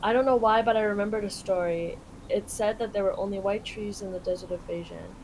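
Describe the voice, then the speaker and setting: A young woman reads and translates a game aloud in a casual, informal way. Her voice sits at a middling pitch. There is clear background noise on the recording. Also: brisk. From Canada. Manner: informal, swearing, paraphrasing. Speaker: live translator on a game play-through. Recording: clearly noisy home recording.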